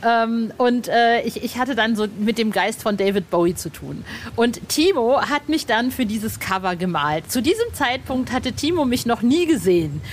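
A woman's voice: fairly high pitch, lively intonation, moderate pace, a little hiss or hum. A woman interviewing in a casual podcast chat, informal and enthusiastic like a fan.

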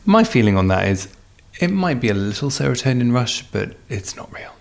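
A man's voice: mid-range voice, sing-song, brisk, gravelly. A man co-hosting a podcast in casual chat, teasing with a dry sense of humour. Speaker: podcast co-host.

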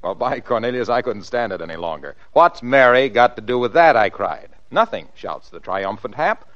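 Animated old-time radio pitchman. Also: moderate pace. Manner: exuberant, folksy, alliterative patter. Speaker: radio sponsor announcer. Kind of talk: 1940s comic radio commercial. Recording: muffled old radio recording.

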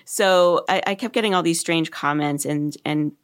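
A middle-aged woman with a deep voice, talking thoughtfully in a conversational interview and laughing easily. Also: normal speed. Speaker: podcast host, guest.

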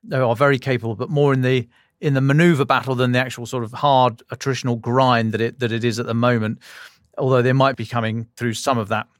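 A man with a medium-pitched voice hosts a defence podcast in a very dry recording. He sets out semi-formal military analysis point by point. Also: fairly even intonation, a little fast, no echo. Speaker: podcast host and defence journalist.

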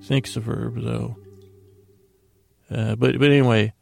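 A man hosting a podcast with a meandering, halting bedtime monologue that keeps interrupting itself and wandering off. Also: medium pitch, level tone, vocal fry, a little mellow, soft background music. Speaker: podcast host.

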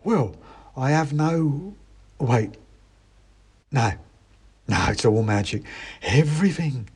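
A man's voice: mid-range voice, lively intonation, slow pace, darkish tone, slightly rough, pitched above the performer's own voice, heavily acted. A man voicing a cheery male mouse market trader, calling out a coaxing sing-song patter. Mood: flustered.

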